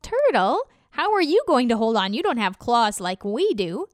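A woman voicing a pair of kindly birds who speak gently and patiently. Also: a little high, sing-song, normal speed, dry recording, studio quality. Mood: doubtful.

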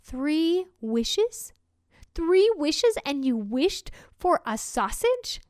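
A shrill, exasperated peasant wife from a storybook, as a woman puts her on, scolding fast and climbing high. The voice sits high and the sound is dry and close. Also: very animated, darkish tone, dry recording, heavily acted. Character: sharp-tongued, exasperated poor peasant wife.

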